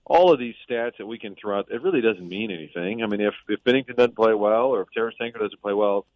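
A man's voice: on the low side, fast talker, no echo. A man, a sports reporter calling in from a boat, talking through a game preview in casual conversation. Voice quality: conversational, analytical. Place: on a boat in a harbor. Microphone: phone line. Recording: tinny phone line.

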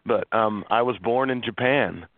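A middle-aged man from central Illinois, a guest on a radio talk show, speaking in a relaxed, friendly way with fillers. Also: lowish voice, unhurried, no echo. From central Illinois, USA. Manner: relaxed, friendly, laughs easily, uses fillers. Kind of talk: casual radio interview. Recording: dry phone-line sound.

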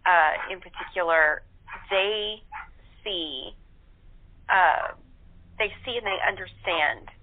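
A woman chatting as an interview guest, measured and reflective, searching for her words. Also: lowish voice. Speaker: guest, radio play cast member. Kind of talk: casual interview chat. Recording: tinny phone line.

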